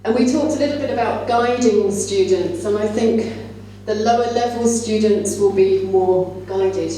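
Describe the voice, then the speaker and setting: A woman's voice: mid-range voice, unhurried, warm tone. A woman speaking at a conference as a teacher trainer, explaining things to fellow teachers in a conversational way. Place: large indoor conference room. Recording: echoey hall, distant mic, faint hum.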